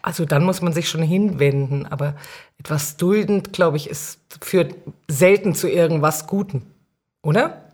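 Female interview guest with a low-pitched voice, talking casually and reflectively. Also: normal speed, darkish tone, husky. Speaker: podcast guest.

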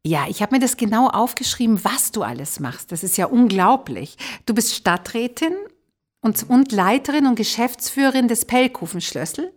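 A woman's voice: on the high side, animated, moderate pace. A woman hosting a conversational podcast interview in a chatty way, reacting with exclamations and putting questions to a guest. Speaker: podcast host.

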